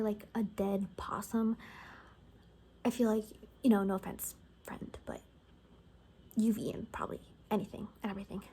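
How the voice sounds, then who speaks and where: A woman speaking softly in an ASMR roleplay as a gossipy survivor, rambling casually and wryly and often dropping into whispers. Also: medium pitch, a little hiss or hum. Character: gossipy female bunker survivor in a zombie apocalypse. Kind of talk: soft-spoken ASMR, improvised gossipy storytelling.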